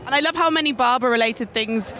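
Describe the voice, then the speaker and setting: A woman reporter interviewing visitors at a trade show with a handheld mic, upbeat and quick with her questions. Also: on the high side, over music. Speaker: roving reporter. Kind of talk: vox-pop interviewing. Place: busy trade show hall. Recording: crowd noise of a trade show.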